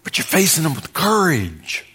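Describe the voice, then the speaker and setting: A man's voice: a little high, wildly swooping pitch, moderate pace, pushed and bright, breathy edge. An American man preaching a sermon in a folksy, conversational way, telling stories, repeating phrases for emphasis and asking rhetorical questions.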